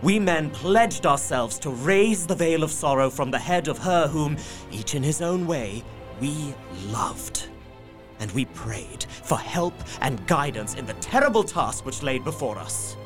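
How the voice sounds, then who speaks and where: Earnest male narrator over soft music. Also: on the high side, lively intonation, measured pace. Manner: earnest, heartfelt storytelling. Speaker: narrator and young husband character. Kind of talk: dramatic first-person narration.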